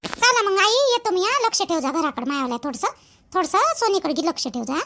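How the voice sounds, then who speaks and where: A woman's voice: extremely high pitch, sing-song, fairly quick, sharp tone, a little hiss or hum, exaggerated voice. A woman voicing a bossy, impatient village woman in an amateur cartoon, nagging and repeating herself with a Vidarbha Marathi accent. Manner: nagging, repetitive.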